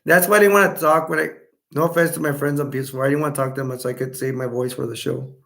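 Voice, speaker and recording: A man hosting a casual live stream, chatting loosely with viewers and reading their comments aloud, his voice starting to go hoarse. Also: fairly high pitch, fairly even intonation, fast talker, a bit bright. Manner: rambling, self-correcting, chatty. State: voice going hoarse.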